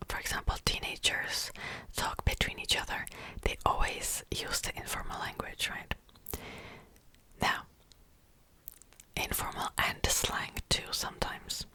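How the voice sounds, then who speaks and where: A young woman whispering a rambling, casual chat about books as an ASMR host, close to the mic, with many fillers. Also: sharp tone. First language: Czech.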